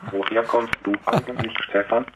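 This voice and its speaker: A synthetic male computer voice for a conversational robot, halting and stilted, heard through a dull phone line. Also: medium pitch. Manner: flat, stilted. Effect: computer speech synthesis. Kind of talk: synthetic chatbot small talk. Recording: dull, noisy phone pickup.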